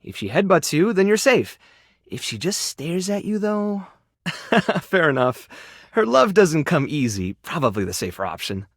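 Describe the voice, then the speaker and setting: A man playing a gentle, shy, soft-hearted animal rescuer in a video game, speaking in a hesitant, earnest, self-effacing way. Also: on the high side, very animated, measured pace, dry recording, clean studio sound, full character voice. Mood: fond, amused. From Mexico (character). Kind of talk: video game character voice acting.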